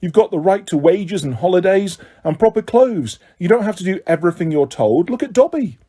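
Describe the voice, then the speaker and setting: Guy doing a know-it-all teen girl, stern and brisk, voice moves around a lot. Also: high voice, animated, dark tone, slightly rough, pitched above the performer's own voice. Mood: severe. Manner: brisk, severe, exasperated. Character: clever bossy teenage girl, principled. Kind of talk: audiobook character voice.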